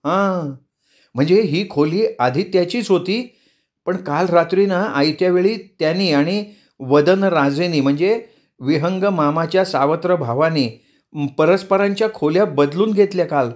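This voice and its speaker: Nervous young woman, high and fretful, read by a male narrator. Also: a little slow. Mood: anxious. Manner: fretful, pleading. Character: frightened young woman, anxious and jittery.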